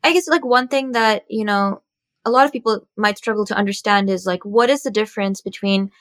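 A woman's voice: mid-range voice, fairly quick, a bit bright, no room sound. Woman interviewer, dry close sound, asks her questions in a loose and searching way.

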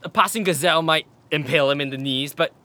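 Bright, high-voiced, sardonic bandit. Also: male voice, quick pace. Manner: sarcastic, irreverent, colloquial. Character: sardonic, insolent slave bandit guardsman. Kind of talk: audio drama acting.

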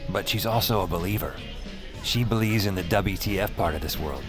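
Deep, sardonic, world-weary man, acted. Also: a little fast, over music, lightly acted. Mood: smitten, rambling. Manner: sardonic, wry. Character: world-weary, wisecracking hard-drinking man narrating his own nights. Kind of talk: first-person audio drama narration and dialogue.

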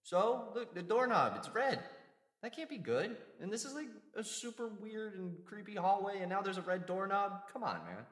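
Jittery high-voiced wisecracking man played by an actor. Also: animated, moderate pace, fairly bright tone. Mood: uneasy. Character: jittery, wisecracking, squeamish man on a creepy quest. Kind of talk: audio drama banter. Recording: echoing hall, long reverb.

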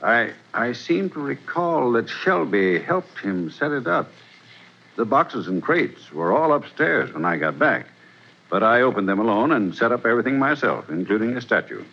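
A prim, defensive professor explains every detail insistently. His lively voice swings through a wide range. The sound is dull, like an old 1940s broadcast. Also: mid-range voice, normal speed, creaky. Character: defensive, precise museum professor. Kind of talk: 1940s radio drama acting.

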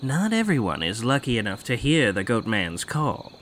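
A man's voice: mid-range voice, very animated, normal speed, a bit bright, slight background noise. An actor playing a cocky, foul-mouthed man who tells spooky local legends in a teasing, theatrical way. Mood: needling. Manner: teasing, theatrical, casually profane. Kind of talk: naturalistic horror audio drama acting.

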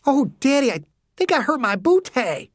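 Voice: high voice, very animated, normal speed, no room sound, clean studio sound, pitched above the performer's own voice. A narrator voicing a cheeky, carefree young female daredevil who teases and pleads with her father in an audiobook story. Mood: pained playful. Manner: teasing, pleading with her dad.

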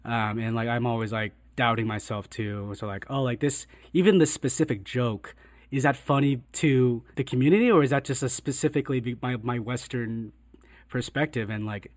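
A reflective man in a relaxed panel chat, thinking aloud with frequent "like"s and restarts. His voice sits at an ordinary pitch, and the sound is close and dry, with little room around it. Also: no room sound. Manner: reflective, halting with many fillers and restarts. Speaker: writer and director. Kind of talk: casual panel conversation.

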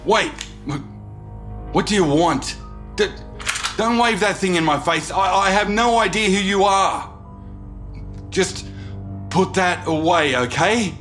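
High-voiced, frightened lawyer, stammering and pleading. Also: male voice, animated, slow pace, long pauses, a bit bright, faint music behind, full character voice. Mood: panicked pleading. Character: frightened wealthy male lawyer pleading with an intruder. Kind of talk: audio drama acting.

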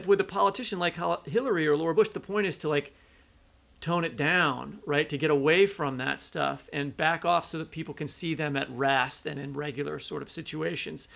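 A man co-hosting a literary podcast, talking through his thoughts in a halting, conversational way. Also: a little high, vocal fry, fairly bright tone. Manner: halting, conversational, thinking aloud. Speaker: podcast co-host. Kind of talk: literary interview discussion.

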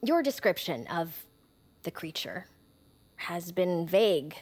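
A woman's voice: fairly low pitch, sing-song, slow, darkish tone, breathy edge, full character voice. A woman acting a skeptical, pressing government interrogator in an audio drama, formal, clipped and accusing.

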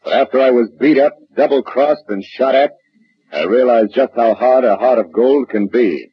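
A man's voice: mid-range voice, normal speed, husky, no echo. A man acting as a tough, wry private detective in a 1940s-style radio drama, clipped and dry. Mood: wry, hard-boiled. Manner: hard-boiled, clipped, dryly wry. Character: tough, wry male private detective narrating his case. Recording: dull old radio recording, faint music.

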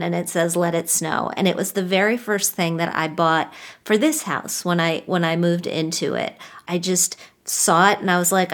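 Chatty, reflective woman podcast host. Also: lowish voice. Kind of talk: casual personal chat.

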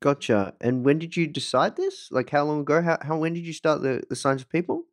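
A man's voice: fairly high pitch, a little fast. A man hosting a podcast in a casual, informal way, repeating words for emphasis. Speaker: podcast host interviewing a guest. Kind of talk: casual podcast intro and interview.

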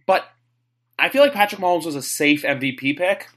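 A man's voice: on the high side, fairly quick, fairly bright tone. A man hosting a sports podcast in casual chat, rambling and opinionated. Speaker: podcast host.

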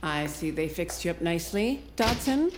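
Woman playing stern female official, low-pitched, cold, clipped, commanding, audio drama interrogation. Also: expressive, normal speed, noisy background, natural voice.

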